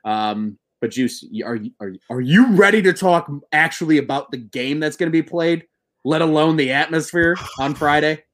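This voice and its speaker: A man in his twenties hosting a casual sports podcast, excitable and swearing, slightly buzzed. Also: fairly high pitch, normal speed. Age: 20s. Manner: excitable, swearing, laughing, bro-ish banter.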